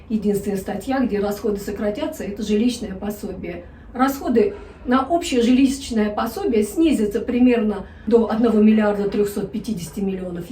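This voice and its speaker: A woman's voice: on the high side, warm tone, a little hiss or hum. Wry woman reading news in echoey room. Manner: wry, ironic commentary. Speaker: news presenter. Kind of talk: news commentary vlog. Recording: echoey room, a bit distant.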